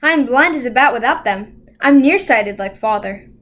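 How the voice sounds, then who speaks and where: Storybook teen girl, read by a woman: fairly high voice, earnest, insecure, self-doubting. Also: animated, normal speed, fairly bright tone. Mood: sorry, self-deprecating. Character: tearful, self-doubting young teenage girl.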